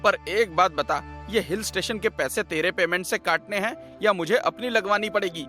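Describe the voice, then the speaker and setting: A man acts out a bantering, exasperated publisher in an animated tale. He sounds wry and businesslike, with a high male voice and music behind him. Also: normal speed. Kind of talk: conversational animated story acting.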